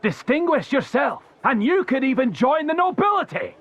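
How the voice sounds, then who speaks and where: Bombastic, high-pitched military recruiter. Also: male voice, sing-song, normal speed, a bit bright, highs cut off, full character voice. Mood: rousing. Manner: bombastic, declaiming, showy. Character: pompous, theatrical male imperial army recruiter, bombastic showman. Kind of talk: video game cutscene acting, public oration. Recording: music behind the voice.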